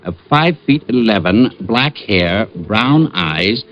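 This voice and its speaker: Stiff, low-voiced police sergeant. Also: male voice, lively intonation, slow. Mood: formal, dutiful. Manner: formal, hesitant, obedient. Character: dutiful, stiff, flustered male police sergeant. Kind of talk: 1940s radio comic-relief acting. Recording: muffled, noisy old radio recording.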